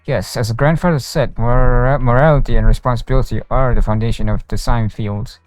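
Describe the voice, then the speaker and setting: Smooth-voiced man streaming a game. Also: medium pitch, fairly even intonation, fairly quick. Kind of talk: live gameplay commentary and reading dialogue aloud. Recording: game music behind. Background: game music.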